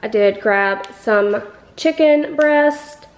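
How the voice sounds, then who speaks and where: A woman, chatting excitedly to viewers in a casual haul vlog. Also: on the high side, very slow. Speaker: vlogger. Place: home kitchen and store aisles.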